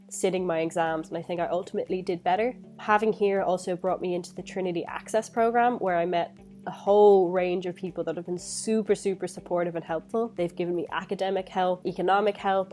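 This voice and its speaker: A woman's voice: on the low side, mellow. A young woman from Dublin, a physics student, telling school students thoughtfully about her path to college. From Dublin, Ireland. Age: young adult. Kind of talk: personal account to school students.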